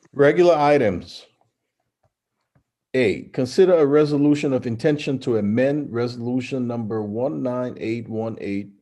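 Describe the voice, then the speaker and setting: A man serving as mayor, chairing a city meeting in a brisk, formal way. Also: medium pitch, mellow.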